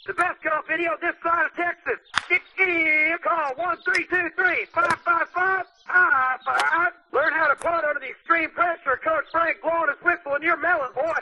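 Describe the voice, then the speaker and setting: A man playing a brash, folksy, crude golf coach delivering a pushy parody infomercial sales pitch. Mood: brash, taunting. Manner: pushy, hard-selling, folksy. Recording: dull lo-fi audio with clear noise.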